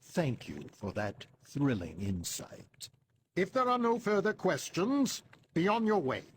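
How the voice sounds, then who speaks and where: An actor playing an imperious older mutant leader in a game cutscene, formal and dryly sarcastic. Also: male voice, a little high, huge pitch swings, unhurried, slight background noise. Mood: commanding. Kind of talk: dramatic video game cutscene acting.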